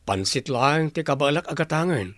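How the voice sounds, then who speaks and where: A man playing a gentle, struggling father in a radio drama, hesitant and placating. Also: fairly high pitch, lively intonation, normal speed, no room sound, clean studio sound. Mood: weary, gentle. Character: struggling, gentle, resigned father of a young child. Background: music.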